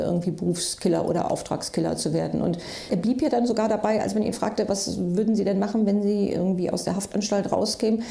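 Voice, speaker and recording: A middle-aged woman gives an explanatory interview answer. Her mellow voice carries a marked creak. The room is audibly reverberant, with music running underneath. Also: medium pitch. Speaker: forensic psychiatrist, interviewee. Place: book-lined office.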